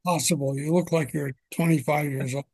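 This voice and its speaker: An older man with a fairly high, husky voice hosts an interview podcast from his home. His speech is gentle and unhurried, with small hesitations, and the recording is close and dry. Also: fairly even intonation, dry recording. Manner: gentle, unhurried questioning with fillers. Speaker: podcast host.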